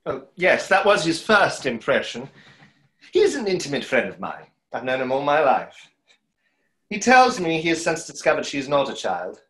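A man's voice: a little high, big pitch swings, normal speed, fairly bright tone. A man in his thirties playing a wistful, self-doubting stage conjurer in a play read over a video call, hesitant and reflective. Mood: wistful, storytelling. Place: small home room, on a video call. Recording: roomy echo of a video call.

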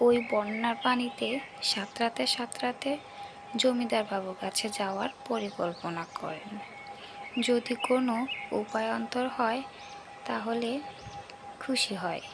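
A woman's voice: on the high side, background noise. A woman narrating a scripted cartoon folk story.

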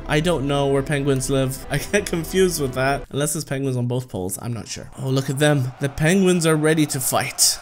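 Male gaming host, thirties, slightly high voice, playful off-the-cuff commentary, music playing behind. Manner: playful, chuckles often, talks to the game. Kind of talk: casual gameplay commentary.

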